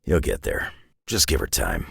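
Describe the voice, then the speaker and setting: A gruff, long-lived mutant brawler for a video game. The voice is rock-bottom low and thick with gravelly rasp, recorded close and dry. He talks in blunt, laconic, wry lines full of folksy tough-guy slang. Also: sing-song, moderate pace, fairly bright tone, no room sound, exaggerated voice. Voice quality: gravelly, raspy growl. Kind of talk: scripted game character voice lines.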